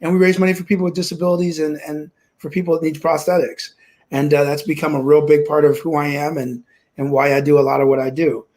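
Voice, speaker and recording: A man's voice: fairly high pitch, little pitch movement, quick pace, a little mellow. A man in his forties from Kansas, telling his life story as an interview guest on a video call from home, upbeat and optimistic with frequent restarts. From Kansas, USA. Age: 40s. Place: home room.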